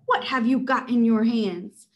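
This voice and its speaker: A woman's voice: a little high, a little slow, lo-fi sound. A woman voicing a pompous male supervillain who accuses people theatrically, in a children's storybook reading. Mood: accusing, theatrical. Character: pompous booming male supervillain bullying shoppers.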